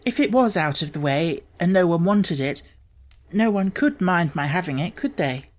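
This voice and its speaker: A man's voice: sits high, sing-song, normal speed. A man voicing a stubborn, eager little girl in an audiobook, speaking with earnest determination. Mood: anxious.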